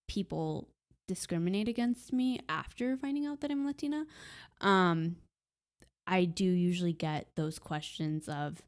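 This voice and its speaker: Young adult woman, soft, hesitant podcast guest with a slightly low voice. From Puerto Rican heritage. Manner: soft-spoken, hesitant with many ums. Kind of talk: casual conversation.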